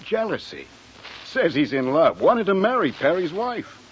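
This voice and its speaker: An actor playing a laconic, gruff-mannered police lieutenant in a 1940s radio drama, offhand and matter-of-fact. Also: male voice, fairly high pitch, sing-song, unhurried. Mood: casual. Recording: dull, hissy 1940s radio recording.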